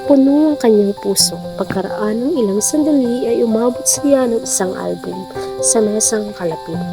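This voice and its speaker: A woman narrates a scripted radio drama in a fairly high voice. Her storytelling is literary and expressive, and music plays clearly behind her. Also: rough recording. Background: background music.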